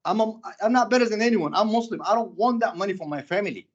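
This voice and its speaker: Sudanese-accented man, impassioned public commenter, halting speech, muffled meeting recording. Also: high-pitched. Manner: impassioned, halting, with many restarts and repetitions.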